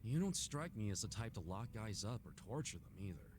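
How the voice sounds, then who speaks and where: A man playing an earnest, soft-hearted street brawler in a video game cutscene, speaking frankly and informally. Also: on the low side, big pitch swings, a little fast, noisy background. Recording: music under the dialogue.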